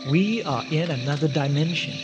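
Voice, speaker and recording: A man's voice: on the high side, animated, normal speed, muffled recording, music in the background, close to an everyday voice. Man playing courtly old-fashioned explorer in cavernous reverb. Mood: earnest wonder. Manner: formal, old-fashioned, hesitant stammering. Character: eccentric, long-stranded scientist explorer, earnest and courtly. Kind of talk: radio drama acting. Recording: noisy radio drama, long cavernous reverb.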